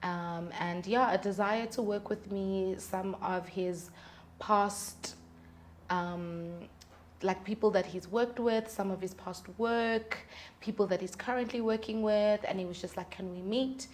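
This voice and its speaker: A woman's voice: medium pitch, steady tone, unhurried. Woman, mid-range voice, conversational storytelling, candid interview guest, light noise behind. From South Africa. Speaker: musician and singer-songwriter, interview guest.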